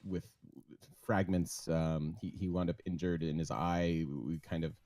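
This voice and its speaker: A man guesting on a livestream, calm and soft-spoken, telling a personal story with many pauses, ums and restarts. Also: deep voice, no room sound, clean studio sound. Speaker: interview guest. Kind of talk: hesitant personal account on a livestream.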